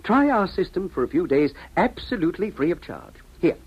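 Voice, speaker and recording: A man's voice: high-pitched, big pitch swings, fairly quick, breathy edge, exaggerated voice. An actor playing a pompous salesman of romance, with grandiose, precise patter in 1950s radio drama style. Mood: coaxing, confident. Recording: dull, muffled 1950s radio recording.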